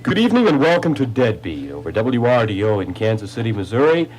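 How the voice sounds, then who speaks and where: A man's voice: fairly low pitch, very animated, fast, a little mellow, low-quality recording. A man moderating a parody radio debate show with a scripted opening. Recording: faint hum and noise.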